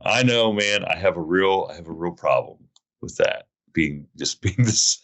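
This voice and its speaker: Deep-voiced guy rambling thru a story on his podcast, pokes fun at himself. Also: expressive, normal speed, a bit bright. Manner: rambling storyteller, self-mocking. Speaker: podcast host. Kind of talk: casual chat.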